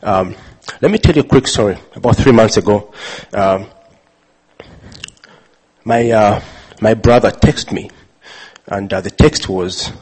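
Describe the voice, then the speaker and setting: A man from Uganda telling a personal story with many pauses, fillers and restarts. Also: fairly low pitch, a little mellow, slightly rough. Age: adult father. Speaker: speaker sharing his testimony. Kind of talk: personal testimony talk.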